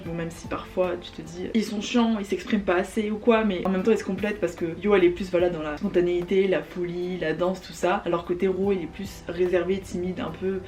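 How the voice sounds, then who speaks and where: A woman's voice: fairly low pitch, darkish tone. A young woman from France talking through film reviews in a chatty, casual way, with many fillers. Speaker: film vlogger.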